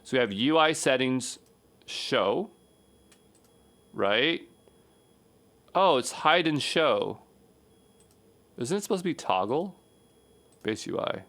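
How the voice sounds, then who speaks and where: A man with a mid-pitched voice works through a coding tutorial as an instructor. He talks casually and off the cuff, reasoning aloud as he goes. His sentences ramble and often end in a quick check for agreement. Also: very animated, fairly bright tone. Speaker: programming instructor. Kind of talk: unscripted screencast coding tutorial, thinking aloud.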